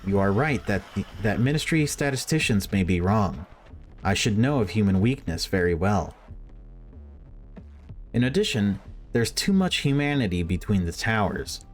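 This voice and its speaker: A man's voice: on the low side, animated, moderate pace, close to an everyday voice. A man voicing a stern, condescending official who preaches machine worship in formal sermons, read aloud from a horror visual novel. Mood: cold condescension.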